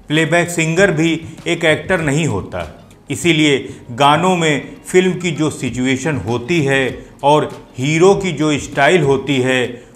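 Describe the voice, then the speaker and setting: Higher-voiced man hosting over music. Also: a bit bright. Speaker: host of a film music show. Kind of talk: explanatory video commentary addressed to viewers. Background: music playing behind the voice.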